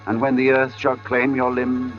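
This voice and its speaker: An actor playing a solemn artist who narrates an eerie tale in a measured, dramatic old-radio style. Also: male voice, medium pitch, completely flat delivery, close to an everyday voice. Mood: solemn, reverent. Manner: measured, solemn, dramatic delivery with long pauses. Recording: dull old radio recording with music.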